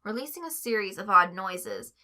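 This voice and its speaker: A woman reading a story aloud as an expressive narrator, following a script. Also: mid-range voice, moderate pace. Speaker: narrator reading fan fiction.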